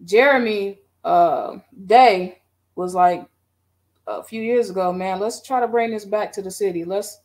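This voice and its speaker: A middle-aged woman on a video call, recounting her band history in a relaxed, rambling way with many fillers. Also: medium pitch, a little slow. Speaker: guest band musician. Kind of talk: casual interview. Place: home room.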